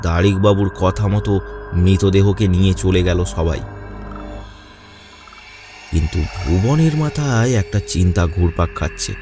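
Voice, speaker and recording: Deep voiced guy narrating a spooky cartoon story, music behind him. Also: unhurried, long pauses. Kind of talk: scripted horror story narration.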